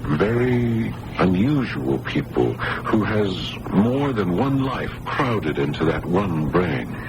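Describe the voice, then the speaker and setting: A man acting a kindly psychiatrist in a radio drama, measured and reassuring. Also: deep voice, big pitch swings, music in the background, heavily acted. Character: kindly, reassuring male psychiatrist, Doctor Bob Kern. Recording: noisy old radio broadcast recording.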